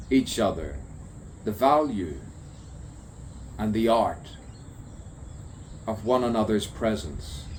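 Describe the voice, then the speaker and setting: Slow-speaking man musing in spoken-word verse. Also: fairly low pitch, a little mellow. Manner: rhyming, repetitive wordplay in short, deliberate phrases. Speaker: poet. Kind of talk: improvised stream-of-consciousness spoken-word poetry. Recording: background noise of insects and birds.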